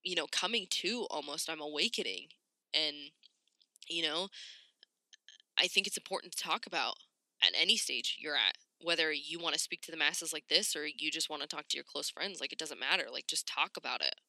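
A woman talks casually and frankly, as a podcast host would, with slang and swearing. Her voice is very bright and forward, with a slight rasp and the congestion of a cold. The sound is dry, with hardly any room on it. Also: deep voice, fairly quick, dry recording. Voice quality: slightly raspy. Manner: candid, informal, sweary, slangy. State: congested, getting over a cold. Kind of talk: casual solo podcast talk.

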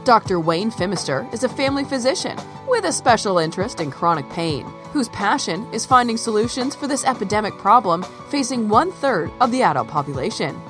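A woman's voice: medium pitch, very animated, brisk, hardly any pauses. A woman reading a scripted podcast introduction in a polished announcer manner. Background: music bed.

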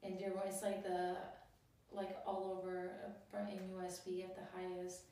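A woman's voice: on the low side, completely flat delivery, moderate pace, dark tone, distant mic. A young woman who grew up in Albania, talking in a casual chat and often saying "like". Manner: chatty, laughing, lots of "like". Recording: echoey room, voice from off mic.

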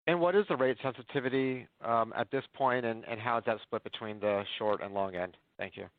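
Quick-talking man on a phone line. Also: mid-range voice. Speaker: financial analyst asking questions. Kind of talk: spontaneous earnings call questions. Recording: dry, narrow phone line.

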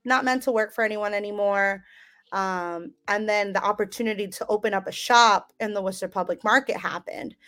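A young woman from El Salvador, raised in the US, telling her story as a podcast guest in a relaxed, chatty way. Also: mid-range voice, a little fast. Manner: relaxed, conversational, lots of "um". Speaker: guest, small business owner. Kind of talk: casual interview storytelling.

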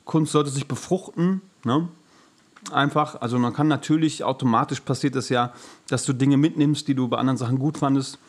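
A man's voice: mid-range voice, fairly even intonation, fairly quick. A man chatting casually as a podcast co-host, colloquial, conceding points and then countering them.

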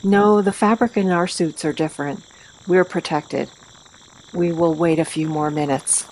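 Confident female raid leader, acted by a woman: deep for a woman, matter-of-fact, faint noise behind. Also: a little slow, a little mellow, rough recording. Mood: confident scheming. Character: confident, calculating female vigilante leading a stealth raid. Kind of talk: amateur audio play acting.

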